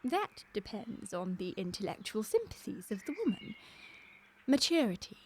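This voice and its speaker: An actress playing a prim, moralising middle-aged English governess in a radio comedy, arch and precise, with a coy streak. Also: female voice, medium pitch, big pitch swings, moderate pace, a little mellow, slight background noise, natural voice. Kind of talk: period stage comedy radio acting.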